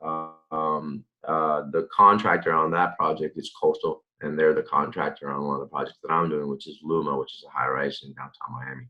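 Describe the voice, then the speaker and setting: A man in his thirties from the Dominican Republic, answering interview questions in a relaxed, rambling way with many fillers and restarts. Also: very deep voice, a little fast, few pauses, muffled recording. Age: 30s. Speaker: interview guest, real estate developer.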